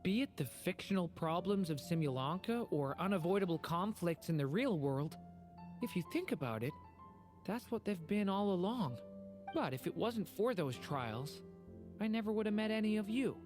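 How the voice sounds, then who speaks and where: An actor playing a gentle, earnest young male dragon in human form in a video game, polite and soft-hearted. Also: medium pitch, animated, normal speed, natural voice. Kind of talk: video game character acting. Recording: game music behind. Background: game music.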